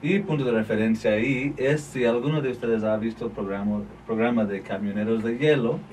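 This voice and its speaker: A man's voice: medium pitch, a little fast, a little mellow. A man from Canada, a guest on a talk show, patiently explaining where places are and giving reference points. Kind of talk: casual radio chat. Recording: distant mic with faint noise.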